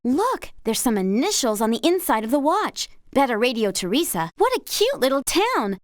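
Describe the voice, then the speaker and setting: An actress playing a cheerful, encouraging young horse rider in a video game, with an American accent. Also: female voice, high voice, sing-song, moderate pace, no room sound, studio quality. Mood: curious, upbeat. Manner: perky, encouraging. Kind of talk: scripted video game character dialogue.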